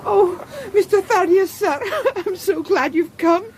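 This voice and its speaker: A woman's voice: very high voice, unhurried, a little mellow, slight background noise. An actress playing a distraught, deferential household servant woman, tearful in a radio drama. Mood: tearful, frantic. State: crying, distressed.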